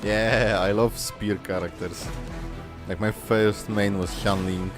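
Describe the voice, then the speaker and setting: A man's voice: on the low side, fairly even intonation, takes it slowly, over music. A man hosting a casual reaction video, rambling with lots of fillers, swearing and laughing as he talks.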